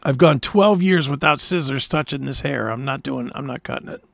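A man's voice: medium pitch, moderate pace, creaky, fairly bright tone, no room sound. A middle-aged man co-hosting a talk show, bantering casually about sports in a blunt, argumentative, opinionated way.

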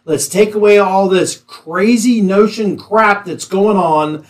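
Blunt man with slightly high voice. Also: slow pace. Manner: emphatic, blunt. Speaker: panelist. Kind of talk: opinionated casual discussion.

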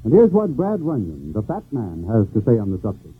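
Man, radio announcer, husky, emphatic promo read, 1940s, tinny phone-like sound. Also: on the low side, huge pitch swings, fairly quick. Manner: polished, emphatic announcer delivery. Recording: thin, phone-like old broadcast.

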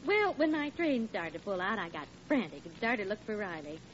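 An actress playing a good-humored wife telling a story in a chatty, fond way, in 1940s radio comedy. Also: female voice, medium pitch, sing-song, brisk, natural voice. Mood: amused, reminiscing. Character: good-humored wife recounting her honeymoon mishap. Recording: muffled, faintly hissy vintage radio.

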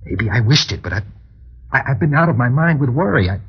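An actor playing a nervous, guilt-ridden husband in an old radio drama, with a husky voice and a halting, stammering delivery. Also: male voice, mid-range voice, expressive. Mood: remorseful, frantic. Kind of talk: mid-century radio drama acting. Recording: dull, lo-fi old radio recording.

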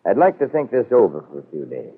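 An actor playing a proud, wily middle-aged businessman in a 1940s radio soap, husky, wry and measured. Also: on the low side, huge pitch swings, exaggerated voice. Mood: wary, defiant. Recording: muffled old radio broadcast.

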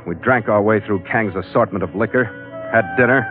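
A man plays a hard-boiled sea captain in a vintage radio adventure. His voice is on the low side, wry and terse. The old recording is muffled, with music clearly underneath. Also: fairly quick, lightly acted. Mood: wry narrating. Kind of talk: 1940s radio drama acting and first-person narration.

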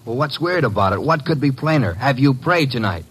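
An actor with an American accent playing a wisecracking, impatient detective's assistant in an old radio drama, slangy, brisk and sardonic. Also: male voice, mid-range voice, animated, a bit bright, husky. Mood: exasperated. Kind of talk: old-time radio detective acting and narration. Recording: faintly noisy old radio recording.

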